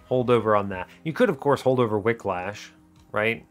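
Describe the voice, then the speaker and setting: A man giving strategy game commentary as host, thinking aloud in a deliberate, explanatory way and often questioning his own choices. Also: fairly low pitch, faint music behind. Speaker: gaming video host.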